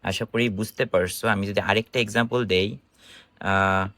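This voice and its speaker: A man's voice: on the low side, fairly even intonation, moderate pace, pushed and bright, no echo. A man with a Bangladeshi accent giving an informal online grammar lesson in an explanatory, question-and-answer manner. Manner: explanatory, instructive, asking and answering own questions. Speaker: English grammar teacher.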